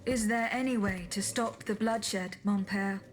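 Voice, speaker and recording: A woman plays a resolute female automaton bodyguard in a game cutscene. She speaks in a measured, formal, voice at a middling pitch, with faint noise behind it. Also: steady tone, natural voice. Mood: calm, concerned. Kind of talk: video game cutscene acting.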